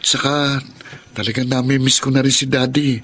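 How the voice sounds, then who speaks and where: A man's voice: medium pitch, flat delivery, normal speed, fairly bright tone, breathy edge. An actor playing a reassuring, upbeat teenage Filipino elder brother in a drama, casually mixing English into his speech. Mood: eager, reassuring. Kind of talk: radio drama acting.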